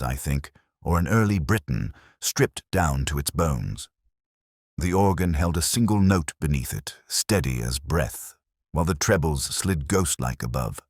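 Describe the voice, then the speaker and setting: A man narrating a first-person literary detective story in a measured, deliberate, brooding way, phrase by phrase. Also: extremely low pitch, breathy edge, no room sound, studio quality.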